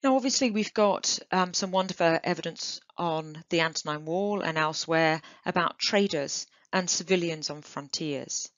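Woman, archaeologist keynote, low voice, dull dry online recording, enthusiastic and measured. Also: no echo. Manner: enthusiastic, measured, with occasional "um" and restarts. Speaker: archaeologist giving a keynote lecture. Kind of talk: illustrated conference talk over slides. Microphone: computer mic on a video call.

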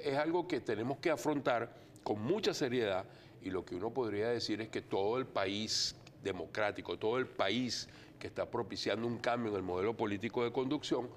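An older man from Venezuela, a TV studio guest talking earnestly and deliberately, with many fillers. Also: mid-range voice, slight background noise. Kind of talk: TV studio interview.

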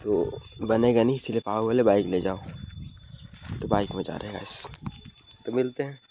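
A man's voice: on the low side, moderate pace. A young man chatting casually to viewers as an outdoor motorbike vlogger, on a phone at arm's length. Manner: informal, addresses viewers as guys. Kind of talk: casual motovlog chat to viewers. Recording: muffled, loud wind and vehicle noise.

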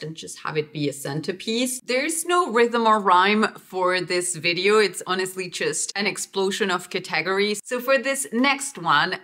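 A young woman from Uruguay, talking casually to camera in a relaxed, chatty lifestyle vlog. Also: lowish voice. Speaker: lifestyle vlogger.

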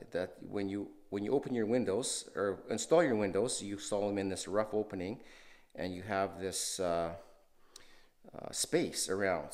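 Low-voiced man giving a rambling house tour. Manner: relaxed, rambling, many fillers and restarts. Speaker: renovation video host. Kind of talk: casual walkthrough narration. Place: empty house under renovation, indoors.

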